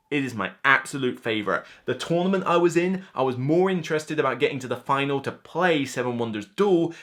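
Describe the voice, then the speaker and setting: A man's voice: medium pitch, fairly bright tone. A man in his thirties giving a casual, unscripted review to camera as host, chatty and emphatic, often acting out players' reactions. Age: 30s. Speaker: board game reviewer and channel host. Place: small indoor room lined with shelves of games.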